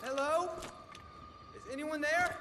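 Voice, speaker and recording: An actor playing an eager, nervous and bookish monster scholar in a video game, wordy and hesitant. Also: male voice, very high voice, steady tone, slow pace, noisy background. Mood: startled, awed. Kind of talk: dramatic video game acting. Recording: voice over game music.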